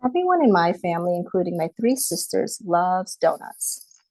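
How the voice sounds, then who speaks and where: A woman's voice: fairly low pitch, sing-song, normal speed, darkish tone, no echo, poor audio. A woman author presenting her picture book and reading it aloud on a video call, speaking politely. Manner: polite, apologetic about screen sharing. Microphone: computer mic on a video call.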